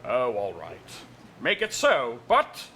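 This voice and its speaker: An actor playing a weary, skeptical starship captain, tactful but exasperated, in a live comedy stage reading. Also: male voice, medium pitch, wildly swooping pitch, slow, sharp tone, a little hiss or hum, full character voice. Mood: weary, reluctant. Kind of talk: musical comedy stage acting.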